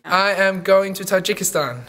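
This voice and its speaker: An upbeat young man tells of his volunteering, pausing on frequent ums. His voice sits fairly high, with a faint hiss behind it. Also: sharp tone. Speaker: student. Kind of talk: short testimonial snippets.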